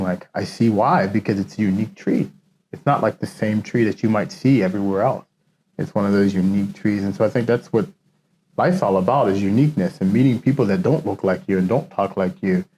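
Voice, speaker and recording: A man's voice: fairly low pitch, darkish tone, a little hiss or hum, poor audio. A man talking as the guest in a casual interview, reflective and loose, with many fillers.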